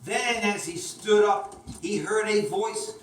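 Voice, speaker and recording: A woman storyteller reads a children's tale slowly and dramatically. She is recorded from a distance in an echoey room, with clear noise behind her. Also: mid-range voice. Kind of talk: scripted children's story narration. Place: indoor room, picked up from a distance.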